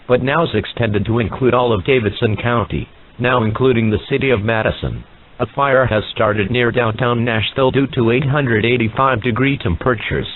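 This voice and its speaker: Man reading alerts over tinny radio band. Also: medium pitch. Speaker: emergency alert announcer. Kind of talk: scripted emergency broadcast announcement. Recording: muffled narrowband radio-like sound.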